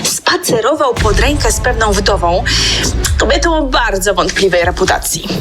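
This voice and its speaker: A woman's voice: mid-range voice, lively intonation, normal speed, music in the background, close to an everyday voice. An actress playing a gossipy, disapproving relative reading out her letter in a radio drama, confiding and insinuating. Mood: confiding disapproval.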